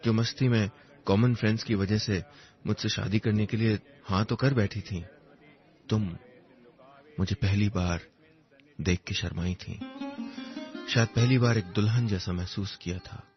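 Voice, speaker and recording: A man with a fairly low voice tells a dramatic first-person story. He is wistful and reflective, pausing between poetic phrases. The recording is a little dull, with music underneath. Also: fairly even intonation.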